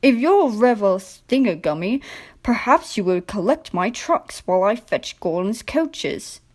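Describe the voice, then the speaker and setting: A woman's voice: medium pitch, big pitch swings, a little slow, a little mellow. A woman voicing a proud, cheeky male tank engine in a storybook, short and matter-of-fact with a mock-innocent manner.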